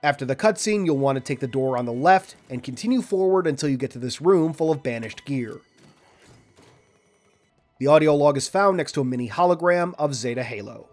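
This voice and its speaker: A man reading a scripted video game walkthrough in a measured, orderly, instructional way. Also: medium pitch, expressive. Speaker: video game guide narrator. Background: background music.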